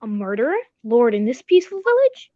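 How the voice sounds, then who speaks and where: Dull dry recording, woman acting genial gentleman storyteller, high-pitched, old-fashioned, polite, sentimental, radio drama. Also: very animated, a little fast, no room sound. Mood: shocked.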